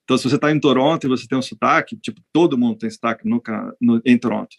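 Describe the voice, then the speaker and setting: A Brazilian veterinarian answering interview questions in a relaxed, hesitant way, with frequent restarts. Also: male voice, medium pitch, racing, gravelly. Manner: hesitant, many restarts and fillers. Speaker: veterinarian guest. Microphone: video call.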